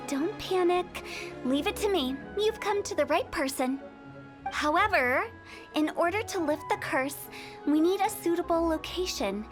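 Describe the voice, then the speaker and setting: A woman voicing a playful, shrewd young female funeral parlor director in a game, confident and mischievous. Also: very high voice. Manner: confident, mischievous, talks down worries. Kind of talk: game voice acting. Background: game music.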